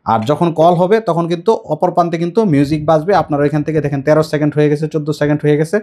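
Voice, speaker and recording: A man's voice: on the high side, level tone, very fast, dark tone. A man with a Bangladeshi accent presenting a product demonstration to viewers, explaining step by step and repeating his points. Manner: explanatory, repetitive. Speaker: shop presenter demonstrating intercom equipment.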